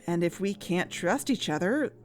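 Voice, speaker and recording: A woman in scripted audio drama dialogue, earnest and worried, sometimes rushing her words. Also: fairly low pitch, expressive. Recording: music bed underneath.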